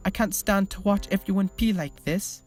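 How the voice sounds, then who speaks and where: A reader voicing an upset young male pop singer, pitched a little higher than the narration, with music behind. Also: moderate pace, lightly acted.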